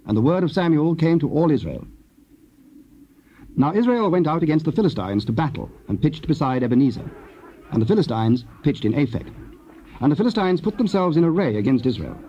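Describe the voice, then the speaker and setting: A man's voice: a little high, sing-song, a little fast, mellow. A man narrating scripture in a solemn, scripted style. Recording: dull, faintly noisy old cassette.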